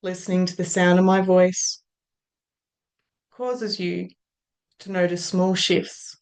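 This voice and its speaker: A woman with an Australian accent guiding a hypnosis session, speaking in soothing, drawn-out phrases that trail off softly. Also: lowish voice, level tone, poor audio. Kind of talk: guided hypnosis induction.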